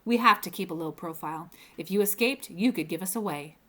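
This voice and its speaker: A woman's voice: on the low side, a little fast, pushed and bright. A woman reads the part of a young storybook heroine who is bossy and scornful. She talks curtly and dismissively. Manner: curt, dismissive. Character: curt, bossy, scornful young female companion.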